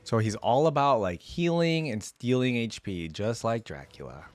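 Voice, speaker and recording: A man's voice: mid-range voice, animated, moderate pace. A man hosting a reaction video, chatting casually and thinking aloud in a puzzled way. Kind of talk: casual reaction commentary, some story reading.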